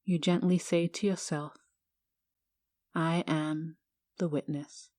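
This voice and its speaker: A woman guiding a meditation in a hushed, soothing, measured manner with long pauses. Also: low-pitched, flat delivery, no echo, clean studio sound. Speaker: yoga teacher guiding a meditation. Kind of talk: guided meditation narration.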